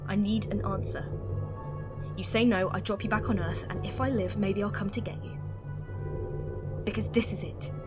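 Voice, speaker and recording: A woman's voice: medium pitch, steady tone, normal speed, pauses often, lightly acted. Actress doing a weary defiant space hero lady, intense wry speeches, audio drama, big music behind. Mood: grim resolve. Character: defiant, weary female alien time traveller hero. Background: loud music and sound effects.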